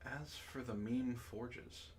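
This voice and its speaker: A man's voice: medium pitch, takes it slowly. A man hosting a casual group livestream with informal, sweary banter. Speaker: host.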